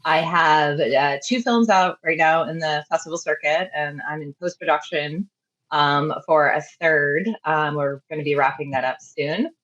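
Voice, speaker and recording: A woman director speaks on a panel in a polite, measured way. Her voice is low for a woman. The audio is dull and muffled. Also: fairly bright tone. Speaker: director and screenwriter. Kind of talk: casual panel talk.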